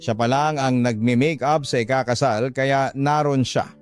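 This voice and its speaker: A man's voice: mid-range voice, steady tone, moderate pace, clean tone, faint music behind, lightly acted. A man playing a frank, earnest young working-class older brother who admonishes and confides in a radio drama. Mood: frank confession.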